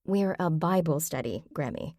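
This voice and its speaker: A woman's voice: deep voice, expressive, moderate pace, a little mellow, no echo, studio quality. A woman voicing a practical, puzzled adult woman who asks reasonable questions in an audiobook.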